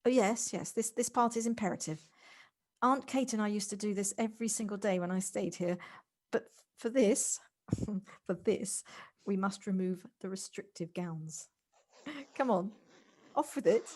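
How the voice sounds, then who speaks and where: A woman's voice: medium pitch, unhurried, a little mellow, lightly acted. A woman in an amateur audio drama playing an exuberant, affectionate lady, eager and effusive, often repeating her words. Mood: giddy, coaxing. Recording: home recording on a personal device.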